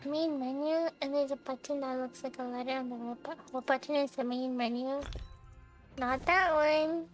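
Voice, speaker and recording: A woman's voice: high voice, fairly even intonation, normal speed, soft background music. A woman giving casual, playful live commentary on a game, chattily thinking aloud as she plays. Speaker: game streamer. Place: indoor livestream setup with game music behind the voice.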